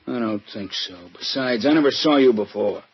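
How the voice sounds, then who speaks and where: A man's voice: medium pitch, moderate pace, slightly rough. An actor playing a wary, stubborn gangster's henchman in a 1940s radio drama, blunt and suspicious in manner. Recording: muffled, noisy vintage radio recording.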